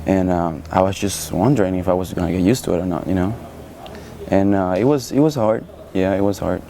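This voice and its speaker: A man's voice: low-pitched, fairly quick, gravelly, warm tone, slight background noise. A young man from Cuba with a Cuban Spanish accent, a student interviewed, speaking hesitantly with many fillers. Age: young adult.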